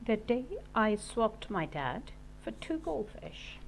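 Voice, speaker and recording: A woman teacher reading a children's storybook aloud in an expressive, deliberate way. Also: fairly high pitch, vocal fry, slight background noise, rough recording. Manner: animated, deliberate storytelling for young listeners. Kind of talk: expressive children's audiobook narration.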